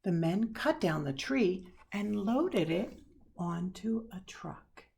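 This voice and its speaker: A woman reading a children's picture book aloud expressively, with dramatic pauses and hushed asides. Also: medium pitch, animated, creaky, husky. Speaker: storybook reader.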